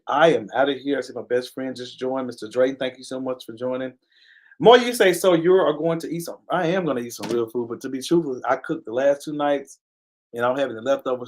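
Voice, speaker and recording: Earnest man hosting a live talk show. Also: medium pitch. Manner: earnest, preacher-like cadence with repeated phrases; pauses to give viewers shout-outs. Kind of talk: casual live-stream commentary.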